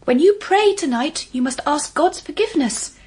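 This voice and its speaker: An actress playing an earnest, pious young English governess in a period audio drama, gently correcting and moralising. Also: female voice, high-pitched, animated, moderate pace. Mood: prim reproof.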